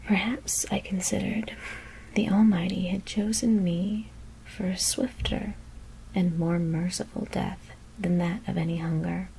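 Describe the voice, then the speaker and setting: A woman whispering a gothic horror story as ASMR narration, hushed and deliberate, with careful pauses. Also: lowish voice, steady tone, vocal fry, a little hiss or hum, low-quality recording.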